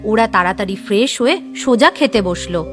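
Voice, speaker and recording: A woman's voice: mid-range voice, lively intonation, normal speed, over music. A woman narrating a scripted horror story.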